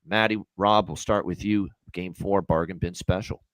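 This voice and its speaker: A man's voice: sits low, steady tone, moderate pace, fairly bright tone, no room sound, low-quality recording. A man hosting a hockey betting show, moving from upbeat sponsor reads to casual picks with frequent fillers. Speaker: host. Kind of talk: sports betting show chat.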